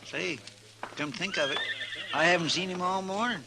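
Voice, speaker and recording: Earnest, highish-voiced rustic deputy. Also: male voice, normal speed, vocal fry, very noisy. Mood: earnest, chatty. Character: earnest, folksy frontier marshal's helper, easily astonished. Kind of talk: old-time radio western acting. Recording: vintage radio with crowd murmur.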